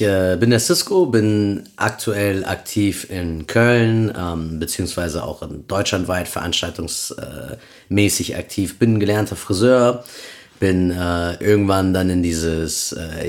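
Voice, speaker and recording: A man in his thirties, born in Peru, talking as a guest on a casual podcast, rambling with many fillers. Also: deep voice. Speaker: guest, event promoter.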